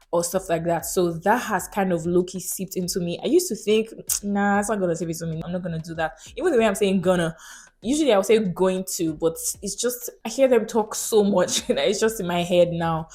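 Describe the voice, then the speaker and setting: A woman's voice: lowish voice, darkish tone. A young Nigerian woman with a Nigerian accent, talking frankly and casually to camera in her vlog. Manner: chatty, frank, asks viewers rhetorical questions. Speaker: vlogger.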